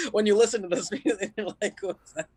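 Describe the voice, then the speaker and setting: A man's voice: sits high, animated, brisk, a little mellow, husky. A man appearing as a podcast guest, chatting casually with informal, laid-back, slangy phrasing. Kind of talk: casual chat.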